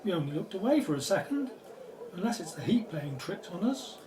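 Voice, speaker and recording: High-voiced, animated male archaeologist, played by an actor. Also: brisk, recorded from a distance. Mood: blunt. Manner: blunt, informal. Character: excitable, cocky male archaeologist, jokey and blunt. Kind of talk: audio drama acting. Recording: off-mic, noisy ambient background.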